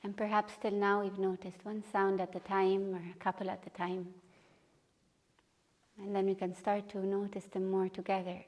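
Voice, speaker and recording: A woman leading a guided meditation as a teacher, speaking gently and without hurry, leaving long pauses. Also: medium pitch, completely flat delivery. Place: room at a retreat.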